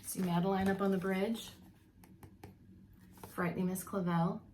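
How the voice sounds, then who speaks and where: A woman preschool teacher reading a picture book aloud to young children, expressively, pausing to explain and ask questions. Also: medium pitch, little pitch movement, a little mellow, a little hiss or hum.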